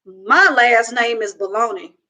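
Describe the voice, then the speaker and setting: A woman's voice: on the high side, measured pace, bright, forceful tone. A woman voicing a bold, stubborn ten-year-old girl who hollers back at others. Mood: defiant. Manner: hollering, defiant.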